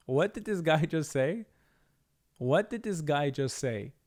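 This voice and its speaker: A man's voice: fairly high pitch, animated, slow, darkish tone. A man from Israel, hosting reaction commentary and stressing his points by repeating them. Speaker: channel host.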